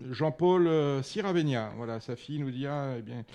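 A man hosting an informal live interview, chatty and halting, often interjecting. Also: medium pitch, mellow, muffled recording. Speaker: interviewer, show host.

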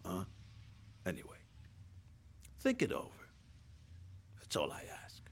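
An actor playing a smooth, pushy senior government official in a satirical audio drama, with insinuating, halting delivery. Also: male voice, deep voice, wildly swooping pitch, full character voice.

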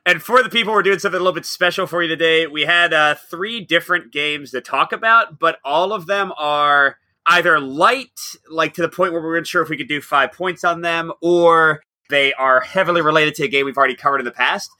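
Upbeat guy on a podcast, kinda high voice, jokey, dry close mic. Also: fairly quick, hardly any pauses, bright, forceful tone, no room sound. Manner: upbeat and teasing, laughs often. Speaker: podcast co-host. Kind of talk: casual podcast chat.

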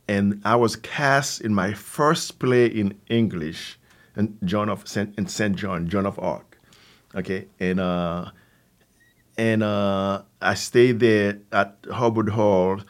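An older man, in his sixties, speaks in a low voice with a Haitian accent. He talks conversationally as an interview guest, often doubling back on words and dropping in asides. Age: 60s. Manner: halting, with repeated words and asides. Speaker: theater company founder, guest.

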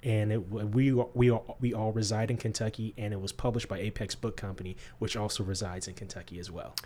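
A man born in Georgia and raised in the Midwest, a guest author answering interview questions thoughtfully, with frequent pauses. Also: lowish voice, level tone, warm tone. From born in Georgia, grew up in the US Midwest.

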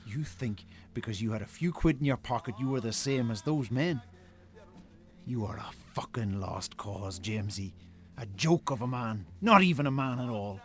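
An actor playing an angry, scornful Irish brother in a radio drama, scolding with sarcasm and swearing. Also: male voice, mid-range voice, expressive, moderate pace, fairly bright tone, lightly acted. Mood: contemptuous. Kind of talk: naturalistic radio drama acting.

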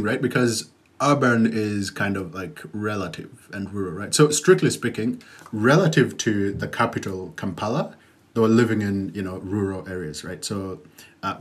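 A man, a podcast guest who speaks thoughtfully and hesitantly, with many fillers and "right?" tags. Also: lowish voice. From Uganda. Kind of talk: conversational podcast interview.

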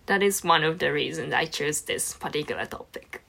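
A young woman from Japan whose first language is Japanese, answering interview questions slowly and haltingly as she searches for words. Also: deep voice, vocal fry, sharp tone. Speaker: master's student.

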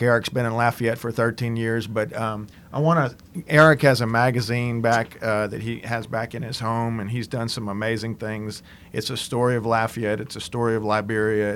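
A male show host welcoming and introducing his guest in a studio, warm and faith-minded. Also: medium pitch, slight background noise. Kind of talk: interview host.

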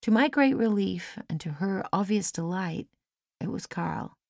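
Creaky-voiced woman narrating an audiobook. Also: medium pitch, expressive, unhurried, a little mellow, no echo, clean studio sound. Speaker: audiobook reader. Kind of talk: scripted first-person story narration. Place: dry studio.

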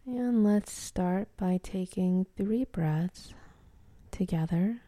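A woman leading a guided meditation in a soft, calm, half-whispered voice with long pauses between phrases. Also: lowish voice, dry recording.

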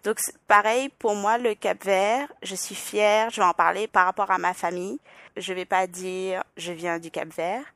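A woman's voice: mid-range voice, fairly even intonation, moderate pace, a bit bright, dry recording. A young adult woman with a Parisian French accent, speaking thoughtfully as a podcast guest, with frequent restarts and hesitations. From Île-de-France, France. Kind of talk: reflective personal interview.

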